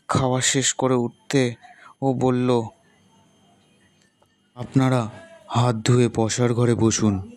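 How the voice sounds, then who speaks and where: A man narrating a ghost story in steady storytelling manner. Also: mid-range voice, no room sound.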